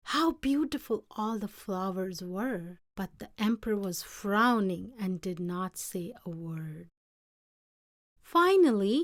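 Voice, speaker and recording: A woman reading a picture book aloud in an expressive, deliberate storytelling manner. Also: mid-range voice, no echo, studio quality.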